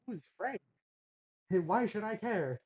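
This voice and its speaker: A man's voice: high voice, lively intonation, takes it slowly, a little mellow, slightly rough, lo-fi sound. A man co-hosting a show reaction, teasing his friend in slangy, casual talk and laughing a lot. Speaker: co-host of a reaction channel.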